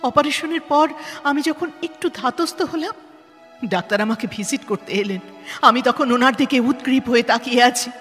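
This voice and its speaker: A woman's voice: sits high, bright, forceful tone. A woman acting an earnest, resilient NGO head in an audio drama, speaking dramatically and repeating words for emphasis. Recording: long hall reverb, music underneath.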